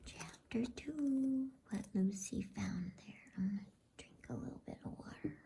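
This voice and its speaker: A woman softly whispering a bedtime story in ASMR style, breathy, with a halting delivery. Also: mid-range voice.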